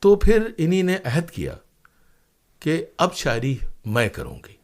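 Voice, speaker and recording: A man's voice: on the high side, very animated, unhurried. Earnest man telling stories. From India. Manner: earnest, emphatic, with rhetorical questions and repeated phrases. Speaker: interview guest, playwright and former radio broadcaster. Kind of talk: reflective interview storytelling.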